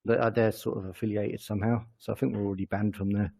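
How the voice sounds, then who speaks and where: Male interview guest, English accent, noticeable vocal fry, halting and informal. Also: fairly low pitch, flat delivery, warm tone. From England. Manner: halting, self-correcting. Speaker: interview guest, company chief executive. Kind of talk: casual interview answers.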